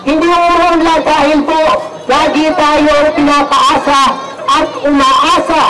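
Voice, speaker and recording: A man's voice: very high voice, flat delivery, unhurried. A man with a Filipino accent giving an earnest, explanatory political speech at a protest. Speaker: protest rally speaker. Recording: echoey outdoor recording, loud crowd.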